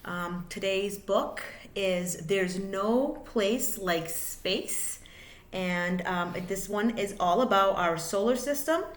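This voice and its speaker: A woman reading a children's picture book aloud like a teacher, in an expressive, sing-song way with drawn-out words. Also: mid-range voice. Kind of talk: read-aloud children's storytelling. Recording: echoey room.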